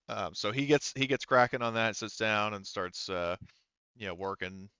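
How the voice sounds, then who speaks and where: A man running a tabletop roleplaying game as game master, explaining the scene in halting, unscripted narration. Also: fairly low pitch, steady tone, sharp tone, smooth, no room sound, dull audio.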